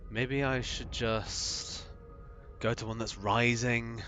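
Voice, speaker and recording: A man streaming a video game and chatting with viewers, thinking aloud with lots of ums, his voice gravelly from a recent cold. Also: medium pitch, steady tone, takes it slowly, sharp tone. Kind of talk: live gameplay commentary, chatting with viewers. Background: game music.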